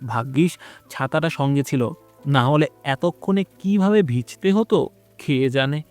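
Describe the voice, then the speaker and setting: A man narrating a scripted horror story in a dramatic, expressive storytelling manner. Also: medium pitch, a little slow. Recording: music behind the voice. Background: background music.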